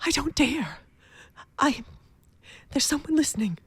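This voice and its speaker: Radio thriller role: panicky, pleading wife, frantic and stammering, very high, dry close sound. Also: female voice, sing-song, a little slow, no echo, exaggerated voice. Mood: fearful, hushed. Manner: breathless, frantic, stammering, whispering to shouting. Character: terrified, pleading invalid wife alone at night, hysterical.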